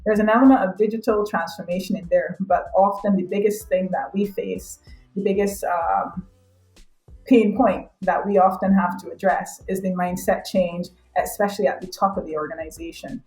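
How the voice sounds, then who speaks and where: A woman's voice: medium pitch, fairly even intonation, moderate pace, a little mellow, soft background music. Echoey-sounding woman. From Barbados. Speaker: interview guest, academic and consultant. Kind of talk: conversational explaining in an interview.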